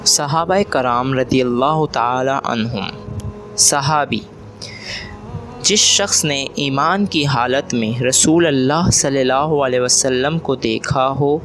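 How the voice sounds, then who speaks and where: A man reading scripted religious narration in a formal, measured manner. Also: mid-range voice, a bit bright, a little hiss or hum.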